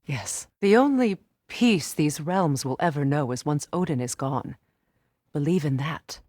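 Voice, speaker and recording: A woman voicing a resolute, battle-worn sorceress and protective mother in a video game, with a measured, earnest and commanding delivery. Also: fairly low pitch, no room sound, studio quality, exaggerated voice. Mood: solemn, earnest. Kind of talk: dramatic video game voice acting.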